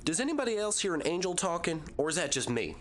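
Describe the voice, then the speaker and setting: A man's voice: a little high, big pitch swings, quick pace, a little hiss or hum. A man acting a clingy, anxious wisecracking team member in an audio drama, fussy, chatty and comic. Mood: comic, flustered.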